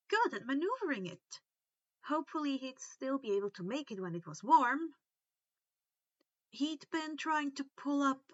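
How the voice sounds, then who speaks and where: A woman who is not a native English speaker, reading stories aloud in an expressive, informal way. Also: high voice, dry recording, muffled recording. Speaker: fan fiction reader, host. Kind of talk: expressive amateur story narration, chatty outro.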